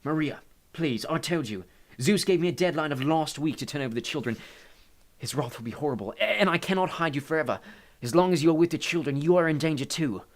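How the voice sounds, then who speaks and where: Man acting anxious, pleading dark god, pitched up. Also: lively intonation, fast. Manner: urgent, pleading. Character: anxious male god of the underworld, pleading, protective, brooding.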